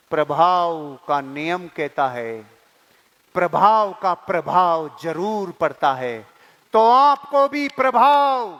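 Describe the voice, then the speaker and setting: High-pitched motivational man in echoing hall. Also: a bit bright. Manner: emphatic, repeats key phrases, rhetorical questions to the audience; colloquial Hindi mixed with English words. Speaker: motivational speaker and network marketing leadership trainer. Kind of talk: motivational seminar speech. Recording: long hall reverb.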